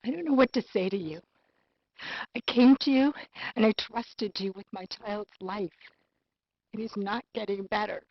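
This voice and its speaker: A woman's voice: mid-range voice, no echo. Earnest, anxious mother, dry muffled sound. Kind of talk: acted medical drama dialogue. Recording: dry, dull game video audio.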